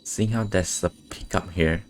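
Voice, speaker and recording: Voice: low voice, unhurried. A game streamer muttering dry, sweary, laconic reactions during live gameplay.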